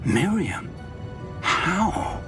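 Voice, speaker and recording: An actor playing a learned, kindly older wizard professor in a video game, with a British accent and a scholarly manner. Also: male voice, a little high, over music, exaggerated voice. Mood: concerned. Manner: measured, scholarly, expressive. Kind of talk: cinematic video game acting.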